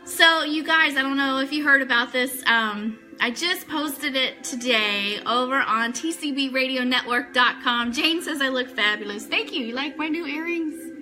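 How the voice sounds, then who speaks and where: Bright, high-voiced woman hosting a livestream. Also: moderate pace, hardly any pauses, faint music behind. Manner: chatty, addressing viewers and reading their comments. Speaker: host of a live online radio show. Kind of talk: casual livestream chat with news items.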